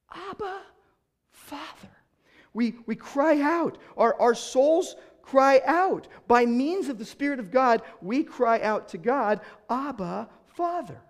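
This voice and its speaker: A pastor preaching a sermon with emphasis, switching between loud declarations and hushed asides, and often repeating words as he starts a sentence. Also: very high-pitched.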